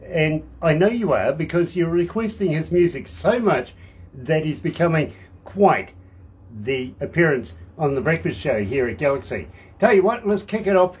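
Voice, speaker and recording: A man's voice: a little high, husky. A man with a New Zealand accent hosting a radio show, enthusiastic and fond of repeating phrases for emphasis. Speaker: radio host.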